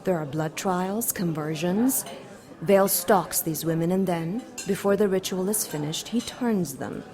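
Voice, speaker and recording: A woman's voice: on the low side, measured pace, darkish tone, lightly acted. A woman voicing a seductive, imperious demon queen in an audio drama, coy, mocking and theatrical. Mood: haughty, indignant. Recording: crowd chatter behind the voice. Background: crowd chatter.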